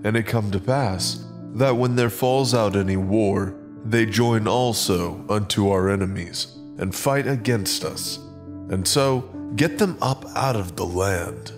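A man playing a stern, ruthless ancient king in a dramatized scripture reading, speaking with deliberate command. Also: lowish voice, music in the background, natural voice. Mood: wary, scheming. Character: stern, ruthless king of Egypt addressing his subjects.

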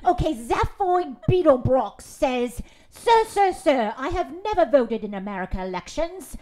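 A woman's voice: high-pitched, big pitch swings, unhurried, darkish tone. A woman playing a mock reporter asking a president formal questions at a press event. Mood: questioning. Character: mock female British news reporter.

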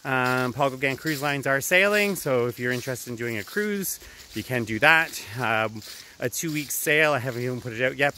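A man's voice: mid-range voice, bright, forceful tone. A man, giving a casual, conversational travel update as he walks outdoors. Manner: conversational, talking while walking. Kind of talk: casual walk-and-talk vlog update. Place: outdoors, walking through autumn woods. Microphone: phone at arm's length, earbuds worn. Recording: outdoor phone recording with background noise.

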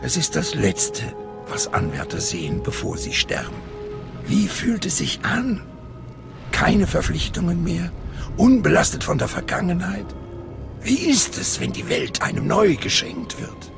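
Gravelly, theatrical cult master. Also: male voice, high voice, sing-song, unhurried, exaggerated voice. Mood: ominous, probing. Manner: ceremonial, theatrical. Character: solemn male master of a secretive Sith cult. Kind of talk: dramatic video game dialogue. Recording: music behind the voice.

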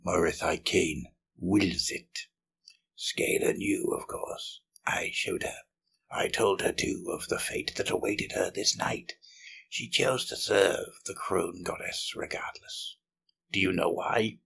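A man voicing a sinister winged female seer in a fantasy audiobook, serene and fatalistic, speaking in a hushed, measured, breathy way. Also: sits low, exaggerated voice, low-quality recording. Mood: calm, knowing.

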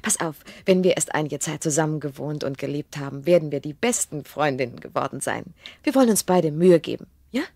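Posh fiancee in audio drama, coolly sweet and condescending, husky, swoopy intonation. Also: female voice, low-pitched, animated, fairly quick, a little mellow, no room sound. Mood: sweetly condescending. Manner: polished, condescending. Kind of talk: German radio play acting.

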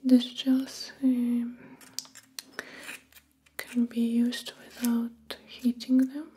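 Slow whispering young woman, ASMR. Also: on the high side, little pitch movement, slight background noise. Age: young adult. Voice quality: soft unvoiced whisper. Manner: slow, gentle, unhurried delivery with pauses. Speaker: ASMR host playing a film make-up artist. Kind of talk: ASMR personal attention roleplay. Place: small indoor room.

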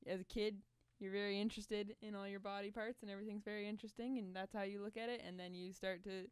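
A woman's voice: medium pitch, flat delivery, fast, clean tone, dry recording. A young woman co-hosting in casual chat at a stand microphone. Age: young adult. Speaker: podcast co-host and duet singer. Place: small curtained studio. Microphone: stand microphone with headphones.

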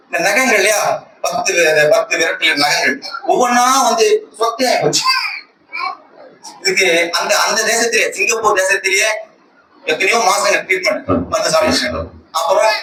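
A distant, echoing recording carries a man with a rather high voice and a Tamil Nadu accent, with clear noise behind him. He gives a personal religious testimony in an earnest, emphatic way, often repeating his phrases. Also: moderate pace, long pauses. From Tamil Nadu, India. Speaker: church member giving a testimony, lay speaker. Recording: echoey, distant conference call with loud noise.

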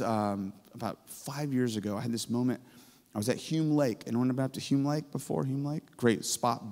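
A man in his forties, a professor giving an energetic chapel sermon on stage with a handheld mic, excitable and booming, full of storytelling. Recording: hall reverb.

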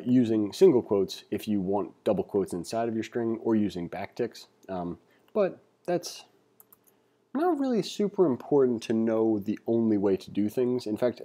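A man giving a read-along tutorial as an instructor, reading aloud and adding informal asides as he thinks out loud. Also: fairly low pitch, animated, normal speed. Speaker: programming instructor. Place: indoors, screen-recording voice-over.

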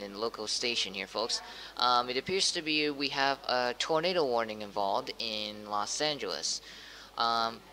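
A man's voice: mid-range voice, pushed and bright, a little hiss or hum, poor audio. A man playing a hesitant local TV news anchor, informal and stumbling over his words in an amateur drama. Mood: apologetic, halting. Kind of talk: amateur acted news interview.

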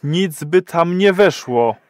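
A man's voice: a little high, animated, takes it slowly, dry recording, clean studio sound. An actor playing a nervous, awkward first-time male patient in an audio drama, hesitant and sheepish. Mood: uneasy.

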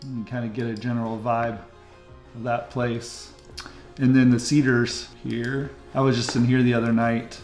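A man's voice: medium pitch, flat delivery, normal speed, a little mellow, reverberant, soft background music. A middle-aged American man giving a casual, chatty guided commentary in the manner of a local real estate host. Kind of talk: casual map-tour commentary. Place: indoor room, screen recording with webcam.

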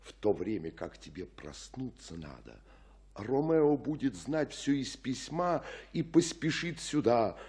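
An actor playing an older, fatherly friar in a radio tragedy, speaking in a husky, measured, solemn declamation. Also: mid-range voice, sing-song, darkish tone, full character voice. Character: grave fatherly friar counselling a desperate girl. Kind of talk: Russian radio play acting of classical tragedy.

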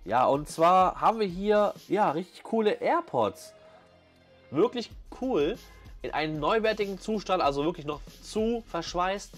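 A man's voice: high-pitched, animated, unhurried. A man giving casual, enthusiastic vlog commentary full of youthful slang. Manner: enthusiastic slang-filled chat. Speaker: vlog host. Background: background music.

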